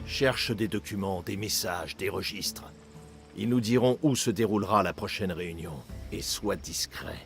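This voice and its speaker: A man acting a stern, secretive older assassin and father in a dubbed video game, terse and measured. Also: sits low, gravelly, soft background music. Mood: guarded, commanding.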